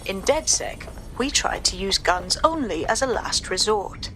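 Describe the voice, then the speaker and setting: A woman's voice: mid-range voice, expressive, a little slow, natural voice. A woman playing a stern, focused resistance organiser in a video game, giving firm instructions. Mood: instructive.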